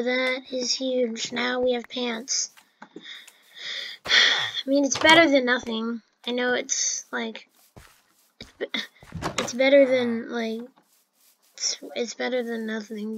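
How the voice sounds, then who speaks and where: A woman's voice: fairly high pitch, fairly bright tone, slight background noise, low-quality recording. A woman giving casual, chatty commentary over her own gameplay, with fillers and restarts. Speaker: gameplay narrator.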